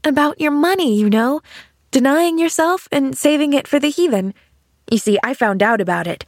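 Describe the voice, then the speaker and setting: Woman voicing an eager, high-pitched little girl. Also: expressive, moderate pace, no echo, studio quality. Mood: sympathetic, eager. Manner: eager, rambling, earnest. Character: cheerful, chatty, naive little girl, eager to please. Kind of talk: audiobook character dialogue.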